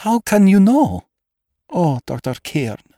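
A man's voice: medium pitch, animated, normal speed, warm tone, dry recording, studio quality, pitched above the performer's own voice, close to an everyday voice. A man voicing a dramatic, anxious, pleading noblewoman in an audiobook. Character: beautiful, cold yet frightened foreign noblewoman. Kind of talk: audiobook character acting.

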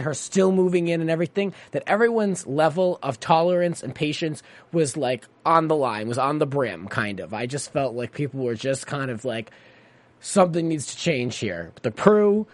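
Male co-host, under the weather, animated, dry close mic. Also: fairly high pitch, no room sound. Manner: animated, dramatic storytelling. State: sick, voice deeper than usual. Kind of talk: casual chat.

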